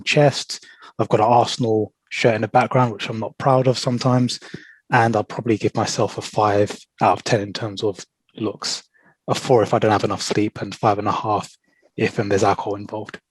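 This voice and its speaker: A man's voice: on the low side, slightly rough, dry recording, rough recording. A man introducing himself casually on a video call, joking and self-deprecating. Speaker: freelance designer.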